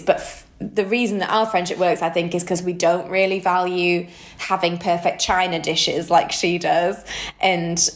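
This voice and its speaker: A woman in her early thirties chatting casually and reflectively as a podcast co-host, recorded in a roomy, echoey space. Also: fairly low pitch. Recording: roomy, echoey room sound.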